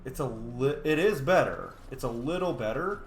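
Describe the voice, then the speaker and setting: A young man casually commenting on a video game as he plays, muttering to himself with excited bursts. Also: medium pitch, normal speed, slight background noise. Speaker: gamer. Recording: echoey room.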